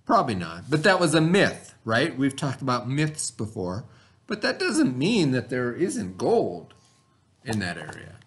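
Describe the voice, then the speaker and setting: A man teaching through a read-aloud, pausing to explain things and put questions to his listeners. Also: medium pitch, huge pitch swings, a bit bright.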